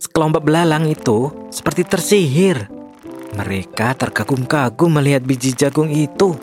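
A man's voice: on the high side, lively intonation, moderate pace, a little mellow, soft background music, close to an everyday voice. A man playing a clever, polite young captive who tells stories earnestly in a children's storybook drama. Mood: storytelling.

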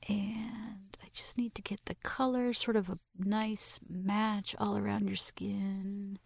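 Breathy, slow-whispering woman doing ASMR roleplay. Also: medium pitch, no room sound. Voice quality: soft, breathy, often whispering. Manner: gentle, intimate, narrating each step softly. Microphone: close mic.

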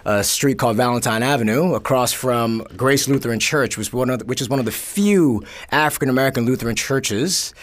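A man guesting on a podcast, talking through his childhood in a steady, middle-of-the-road voice. He tells the story in a measured way and drops in dry, wry asides. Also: medium pitch. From born in Korea, raised in the Bronx. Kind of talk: casual podcast conversation.

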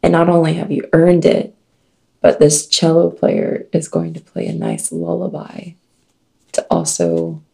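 Woman, low and very gravelly, husky and mellow, unhurried card reading in casual chat. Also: very animated. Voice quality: scratchy, deep, gravelly voice. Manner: slow, dreamy reading with soft laughs.

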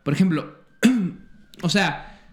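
A man co-hosting a casual podcast, animated and profane as he chats. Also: sits high, drawn-out words. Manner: profane, animated, chiming in often. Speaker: podcast co-host. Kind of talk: casual crude banter.

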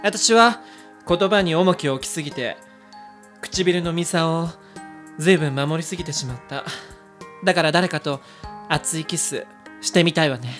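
A man's voice: fairly high pitch, unhurried, pauses often, fairly bright tone, faint music behind. A man playing a solemn, worldly heroine with dramatic feminine phrasing in an amateur radio drama. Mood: longing. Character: solemn worldly heroine longing for passionate kisses.

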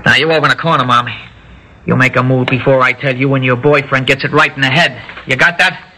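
Sharp, jumpy young hoodlum. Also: male voice, mid-range voice, fairly even intonation, fast talker. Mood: tense, bossy. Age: young adult. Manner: tough street slang, barking threats. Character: jumpy young hoodlum holding a shopkeeper hostage. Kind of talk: 1960s radio drama acting. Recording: noisy old radio recording.

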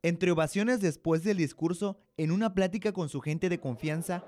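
A man's voice: high-pitched, normal speed, a little mellow, a little hiss or hum. A young man with a Mexican accent narrating a scripted radio drama. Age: young adult.

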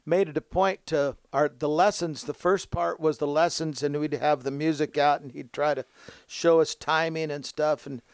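A man's voice: on the high side, fairly even intonation, moderate pace, clear, resonant tone, no echo, studio quality. A man in his seventies, a musician casually recalling his younger days in an interview. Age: 70s. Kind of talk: casual reminiscing.

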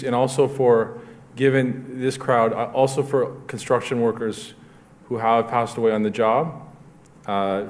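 A man's voice: medium pitch, slight background noise. A man hosting a speaker event, making plain closing announcements with frequent hesitations. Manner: plain, with frequent uh fillers and restarts. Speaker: host of a speaker luncheon.

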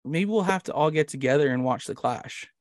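A man's voice: on the high side, a little fast, no room sound, studio quality. Canadian man rambling casually on a podcast. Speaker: podcast host. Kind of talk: casual chat.

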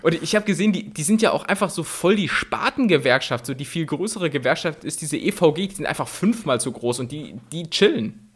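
Brief: male podcast co-host, slightly high, loose laughing comedic chat. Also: lively intonation, fairly quick, breathy edge. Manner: laughing, rambling, colloquial banter.

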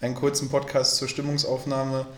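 A man's voice: medium pitch, completely flat delivery, brisk, fairly bright tone, reverberant. A man co-hosting a podcast, chatty and joking in a casual exchange. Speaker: podcast co-host. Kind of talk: casual chat.

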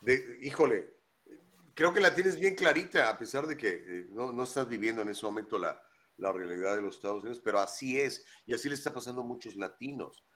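A man's voice: mid-range voice, fairly quick, a bit bright. A man talking on a casual live news chat, stressing his points and repeating words for emphasis.

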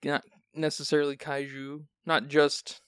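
A man hosting a casual film podcast, relaxed and rambling with a sleepy, raspy voice and jokey asides. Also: a little high, unhurried, dry recording, studio quality. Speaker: podcast host.

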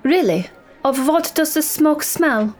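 A man's voice: very high voice, lively intonation, slow, pitched above the performer's own voice, heavily acted. A man voicing a haughty, dramatic prima ballerina with a precise, imperious German accent. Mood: dismissive.

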